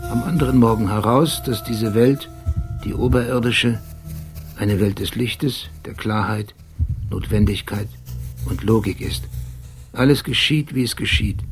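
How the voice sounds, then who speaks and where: An actor playing an obsessive, brooding police detective who narrates a radio play in a deliberate, precise, philosophical manner. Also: male voice, on the low side. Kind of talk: radio play acting and literary monologue. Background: music.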